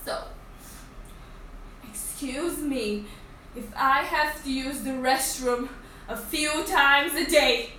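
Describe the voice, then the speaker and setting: Indignant overworked female employee played by a woman, dramatic monologue, piercingly bright, emotional, recorded at a distance in echo. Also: very high-pitched, a little slow, slight background noise, full character voice. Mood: bitter, subdued. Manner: forceful, emotional, declamatory. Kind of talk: dramatic film monologue performed in an acting class. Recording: echoey room, distant microphone.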